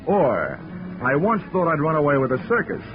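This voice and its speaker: Wisecracking detective-narrator, vintage lo-fi radio with score. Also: male voice, on the high side, very animated, moderate pace. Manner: dry, sardonic, wisecracking. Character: wisecracking insurance investigator, adult man. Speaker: narrator and lead. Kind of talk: 1940s radio acting. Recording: lo-fi vintage radio recording with music.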